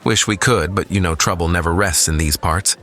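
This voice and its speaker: A man playing a confident, steady captain leading a crew in a sci-fi audio drama, measured and theatrical. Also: low-pitched, faint music behind. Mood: wry, commanding.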